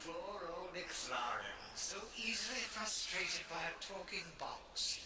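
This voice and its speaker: Casting: gloating game villain, high-pitched and sly, echoey and distant over music. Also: male voice, very animated, takes it slowly, heavy background noise, heavily acted. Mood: mocking, gleeful. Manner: mocking, theatrical, lapses into breathy whispers. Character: sly, gleeful, taunting male trickster villain. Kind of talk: video game voice acting.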